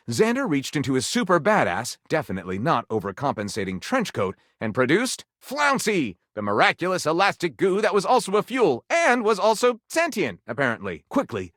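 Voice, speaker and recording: A man's voice: on the high side, fairly bright tone, no room sound, clean studio sound. A man playing a flamboyant, pompous narrator of pulpy detective romance in a game, delivering it theatrically and tongue-in-cheek.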